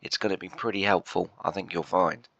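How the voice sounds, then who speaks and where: A man with a croaky, bunged-up voice from a chest cold, rambling through casual gameplay commentary with lots of hesitations. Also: low-pitched, fairly even intonation, fairly quick, a bit bright, dry recording, muffled recording. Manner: rambling, hesitant, full of "uh" and restarts. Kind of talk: casual let's-play commentary.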